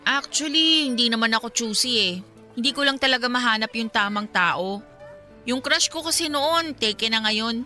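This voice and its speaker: Bright-voiced young Filipino woman, wistful. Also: a little high, normal speed, faint music behind. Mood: guarded, wistful. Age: young adult. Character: young single woman, wistful, secretly in love with friend. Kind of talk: Filipino radio drama dialogue.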